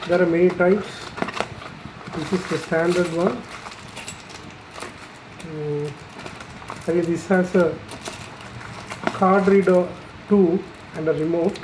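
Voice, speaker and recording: A person giving an unscripted, explanatory product demonstration in a halting, matter-of-fact way, pausing mid-phrase. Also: mid-range voice, steady tone, background noise. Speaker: installer presenting a product tutorial.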